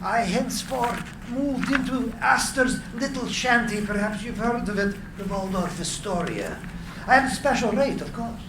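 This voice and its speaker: An actor at a live script reading, playing an eccentric, boastful old inventor with a theatrical, blustering way of talking. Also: male voice, extremely high pitch, normal speed. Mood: nostalgic, boastful. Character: elderly Nikola Tesla, eccentric, boastful, excitable old inventor. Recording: noisy, roomy live reading.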